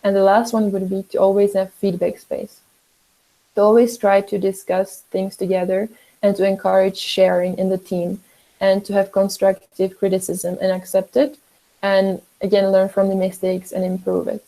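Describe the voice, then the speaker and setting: A young woman presenting an online training session, steady and explanatory. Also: mid-range voice, normal speed, poor audio. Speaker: workshop presenter.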